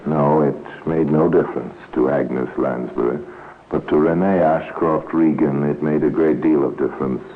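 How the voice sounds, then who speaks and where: A man narrating a 1950s radio crime drama in a grave, wry, deliberate manner. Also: extremely low pitch, steady tone. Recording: tinny, noisy 1950s radio recording.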